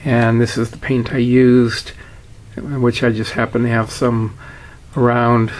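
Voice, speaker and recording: Male, unhurried and level, middle-pitched voice; plainspoken seller doing an informal demonstration. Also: completely flat delivery, slight background noise, rough recording. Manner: plainspoken, matter-of-fact. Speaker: seller demonstrating a vintage radio. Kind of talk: casual product walkthrough.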